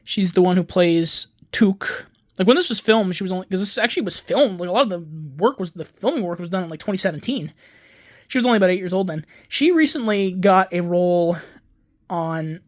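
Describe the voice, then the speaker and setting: Rambling young man showing off discs. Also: high voice. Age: 20s. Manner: rambling, digressive, self-correcting; enthusiastic fan talk. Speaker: home video collector and YouTuber. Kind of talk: casual show-and-tell vlog.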